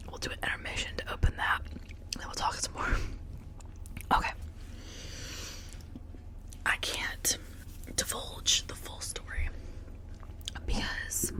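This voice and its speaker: A woman speaking in a breathy, unvoiced whisper, rambling casually and confidingly in short, halting phrases as an ASMR host.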